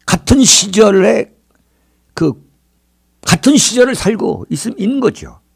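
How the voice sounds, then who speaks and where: A man in his sixties hosts a political commentary monologue. His voice sits high and swings widely in pitch. He speaks like an orator, leaning on dramatic emphasis and posing rhetorical questions. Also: expressive, unhurried, vocal fry, slightly rough. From South Korea. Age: 60s. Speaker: political commentary show host.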